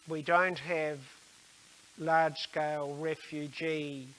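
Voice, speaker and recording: An older man giving an explanatory religious talk in a measured, halting way, with frequent pauses mid-sentence. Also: fairly high pitch, fairly bright tone, a little hiss or hum, rough recording.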